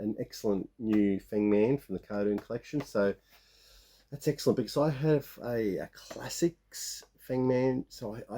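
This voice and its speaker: A man in his fifties with a croaky sore-throat voice, rambling casually as he shows off his toy finds. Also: fairly low pitch, dark tone. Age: 50s. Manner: rambling, many restarts and fillers. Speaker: toy collecting vlogger. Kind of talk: casual show-and-tell of toy haul.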